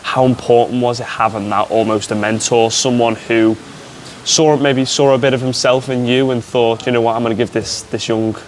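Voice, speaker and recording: A man's voice: medium pitch, little pitch movement, normal speed, slight background noise. A young man from Liverpool with a Scouse accent, a student interviewer asking friendly, rambling questions. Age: young adult.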